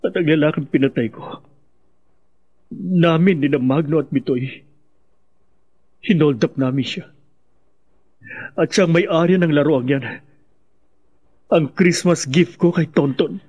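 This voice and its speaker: A Filipino husband crushed by guilt, confessing his crimes in a radio drama. His voice sits a little high and is husky, with halting, remorseful phrasing. The sound is slightly dull and muffled. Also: darkish tone. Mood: haunted, confessing. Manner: halting, heavy, remorseful delivery. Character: guilt-ridden husband confessing to robbery and killing.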